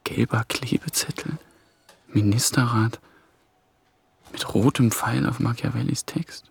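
An actor playing a young intern who quietly reads passages aloud from a book. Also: male voice, medium pitch, unhurried, creaky, husky, dry recording, heavily acted. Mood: reading. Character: young male EU intern reading notes. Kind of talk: TV drama acting.

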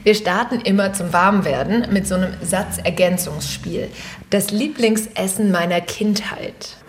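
A woman's voice: mid-range voice, a little slow, a little hiss or hum. A woman hosting an interview, curious and asking lots of follow-up questions. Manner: curious, asks follow-ups, frequent mhm. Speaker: interview host.

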